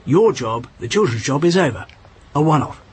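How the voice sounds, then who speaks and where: An actor playing an earnest, patronizing British intelligence officer and husband in a radio drama, urgent and persuasive. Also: male voice, a little high, lively intonation, moderate pace, husky. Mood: grave, persuasive. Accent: British English.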